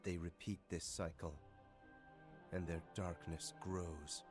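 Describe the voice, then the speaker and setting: A man's voice: low voice, little pitch movement, slow. A man playing a brooding, cold, arrogant young man drawn to darkness, solemn and disdainful, in a video game cutscene. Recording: music under the voice.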